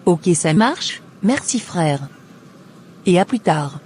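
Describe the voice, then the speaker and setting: A woman's voice: lowish voice, very animated, takes it slowly, darkish tone, slight background noise. Woman playing a meek, guilt-ridden wife. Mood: thankful. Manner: submissive, polite. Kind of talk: scripted cartoon dialogue.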